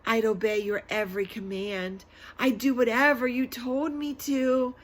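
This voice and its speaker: A woman's voice: a little high, flat delivery, measured pace. A woman voicing a dramatic, bargaining young girl in a children's book, pleading and emphatic. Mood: sweet pleading. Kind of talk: children's book read-aloud.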